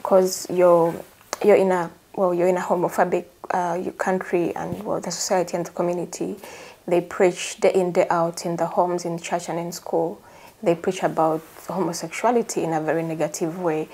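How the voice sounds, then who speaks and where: A woman's voice: on the low side, level tone, moderate pace, dark tone. A woman hosting a conversational interview. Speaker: host.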